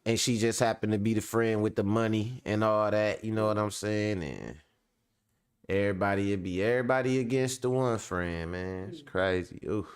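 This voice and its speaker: A man's voice: lowish voice, moderate pace, clean tone. A man hosting a show in casual chat, talking in loose, joking, profane banter. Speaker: show host.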